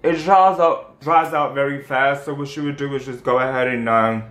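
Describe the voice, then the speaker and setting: A man's voice: medium pitch, fairly even intonation, normal speed, fairly bright tone. Young new york guy rambling on about his skincare routine, lots of ums and likes, bit of room echo. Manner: rambling, chatty, many fillers and restarts. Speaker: skincare vlogger. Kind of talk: casual how-to vlog.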